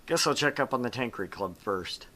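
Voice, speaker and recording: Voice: mid-range voice, expressive, brisk, sharp tone. A gaming co-host narrating a visual novel and chatting casually with joking, sweary commentary.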